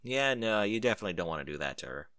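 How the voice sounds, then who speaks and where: An earnest storybook pony played by a man who knows it all and explains with emphasis. The voice is bright and dry, with lively swings in pitch. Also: racing, no room sound. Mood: earnest, advising. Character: earnest, know-it-all pony friend of an athlete.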